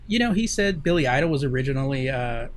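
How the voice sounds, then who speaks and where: A man's voice: mid-range voice, animated, quick pace, slight background noise. A man co-hosting a casual podcast, chatting loosely with restarts and frequent laughs. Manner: laughs often, rambling with restarts. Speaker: podcast co-host. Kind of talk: casual chat. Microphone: computer mic on a call.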